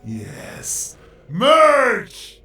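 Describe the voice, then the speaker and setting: A man's voice: very high voice, wildly swooping pitch, very slow, full character voice. A man acting an arrogant, menacing robot hedgehog villain in a fan audio drama, grandiose and commanding. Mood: triumphant, commanding. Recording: echoey, music underneath.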